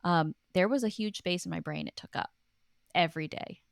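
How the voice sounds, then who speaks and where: A woman with a low-pitched voice speaks in a casual, reflective way. She comes across as an earnest interview guest with informal phrasing. The sound is dry, with very little room to it. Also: brisk, vocal fry, no room sound. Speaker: guest, writer and author.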